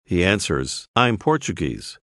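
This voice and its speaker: A man narrating a scripted language course, clearly prompting the listener to repeat phrases. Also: low voice, big pitch swings, slow, fairly bright tone, dry recording. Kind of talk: scripted audio lesson instructions. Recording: dry studio voice-over.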